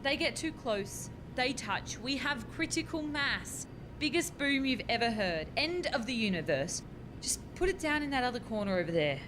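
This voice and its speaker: A woman's voice: big pitch swings, normal speed. A woman playing an anxious, bossy scientist in a radio farce, exclaiming and cautioning in exasperation. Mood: alarmed. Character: anxious, bossy female scientist juggling time-travel experiments. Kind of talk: radio play farce acting. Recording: clear background noise with low rumble.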